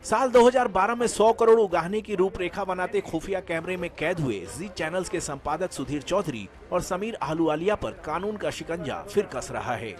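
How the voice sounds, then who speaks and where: Male news reporter, higher-pitched. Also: expressive, normal speed, hardly any pauses. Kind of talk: scripted news report narration. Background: background music.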